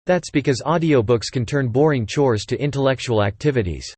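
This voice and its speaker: Male American promo narrator, synthetic AI voice, mid pitch, very dry recording. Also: fairly quick, darkish tone, breathy edge, no echo. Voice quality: synthetic text-to-speech voice. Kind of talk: scripted promotional dialogue.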